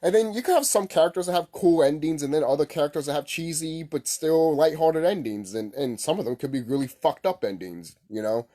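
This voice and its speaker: A man's voice: a little high, a little fast, few pauses, mellow. A man giving a casual, rambling opinion as a gaming vlogger, speaking informally and repeating himself. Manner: informal, repetitive, mild swearing.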